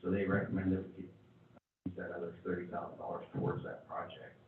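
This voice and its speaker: A man briefing a city council in a halting way, with many fillers and restarts. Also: sits low, flat delivery, slight background noise. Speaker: city chief presenting to council. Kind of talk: council meeting report. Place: council chamber. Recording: tinny, echoey chamber from far off.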